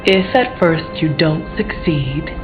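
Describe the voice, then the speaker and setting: A woman's voice: low-pitched, animated, slow pace. An actress playing a determined, loyal woman demon hunter in a video game. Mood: resolute, encouraging. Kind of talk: video game dialogue acting. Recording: slightly dull game audio under music.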